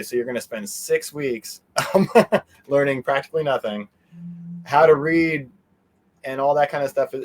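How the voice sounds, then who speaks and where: A middle-aged man chatting casually as an interview guest, easygoing and meandering in how he talks. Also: mid-range voice. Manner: easygoing, meandering, chuckles often. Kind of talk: casual chat.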